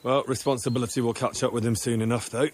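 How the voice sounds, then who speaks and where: A man's voice: mid-range voice, flat delivery, fast, a little mellow, slight background noise, lightly acted. A man playing an earnest, sensible young squire in a video game, speaking in a wry, easygoing way. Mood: wry, cautious.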